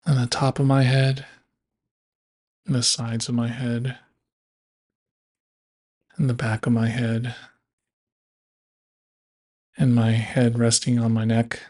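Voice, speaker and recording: Slow, even-toned male meditation guide. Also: medium pitch. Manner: slow, measured delivery with long pauses. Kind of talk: guided body scan meditation.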